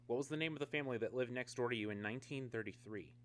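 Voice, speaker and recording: An actor playing a methodical, guarded security major in a radio drama, brisk, official and evasive. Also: male voice, medium pitch, lightly acted. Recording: clear background noise with hum.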